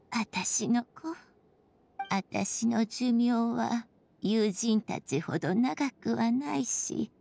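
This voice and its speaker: A tender, selfless mother figure from a storybook game. She speaks slowly in a high, soft voice with some creak, soothing and wistful. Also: no room sound, heavily acted. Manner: soft, soothing, slow, breathing into near-whispers. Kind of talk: scripted game voice acting, storybook-like.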